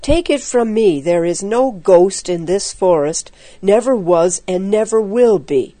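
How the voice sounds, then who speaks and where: A woman's voice: medium pitch, lively intonation, a little slow, mellow, no room sound. A woman storyteller voicing a sceptical, bossy older boy on a camping trip, firm and dismissive.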